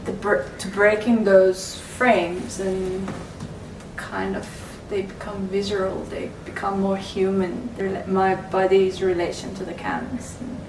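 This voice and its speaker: A woman answers questions slowly, pausing to find her words. She sounds far from the mic in an echoey studio with steady background noise. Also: medium pitch. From Iran. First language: Persian. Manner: hesitant, searching for words. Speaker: artist interviewee. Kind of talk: casual interview.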